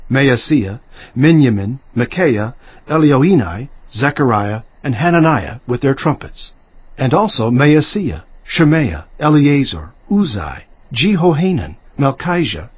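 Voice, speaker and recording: A biblical governor recounting events in a dramatized scripture audiobook. The voice is husky and mid-pitched, with lively inflection and stately, deliberate delivery. The sound is dry and close. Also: male voice, dry recording. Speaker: audiobook narrator. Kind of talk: dramatized first-person scripture reading. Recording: dry, slightly dull studio audio.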